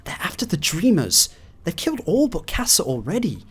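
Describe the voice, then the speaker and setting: A man doing video game commentary and reading in-game documents aloud in a theatrical, expressive way. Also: high-pitched, moderate pace, breathy edge. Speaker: gaming video host.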